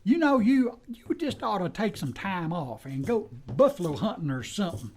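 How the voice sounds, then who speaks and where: A man's voice: sits high, big pitch swings, normal speed, breathy edge, exaggerated voice. An actor playing a folksy, fussy American deputy who is loyal to his marshal, in a western radio play. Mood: chatty. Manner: folksy rustic drawl, fussy and deferential. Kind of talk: old-time western radio play acting.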